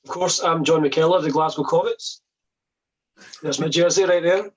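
Higher-voiced man co-hosting a chat. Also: moderate pace, breathy edge, rough recording. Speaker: co-host. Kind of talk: casual podcast hosting.

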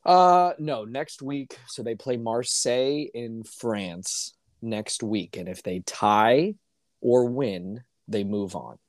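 A man co-hosting a casual sports podcast, hoarse and ranting with exasperation, his voice climbing when worked up. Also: mid-range voice, takes it slowly, no room sound, clean studio sound. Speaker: podcast co-host.

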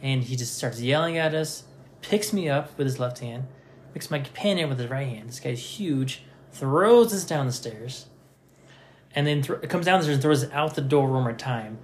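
A man in his thirties hosts a casual conversation, telling stories in an easy, self-deprecating way. His voice sits at a middle pitch. A faint ambient hiss runs behind him. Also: moderate pace. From Nevada, USA. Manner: relaxed, anecdotal, self-deprecating. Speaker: podcast host.

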